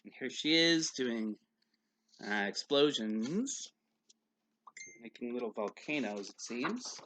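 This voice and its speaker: Animated man reading a children's story. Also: medium pitch, slow, a little hiss or hum, rough recording. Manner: animated, emphatic, explains to young listeners. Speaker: teacher reading a picture book aloud. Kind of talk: expressive children's read-aloud with casual asides.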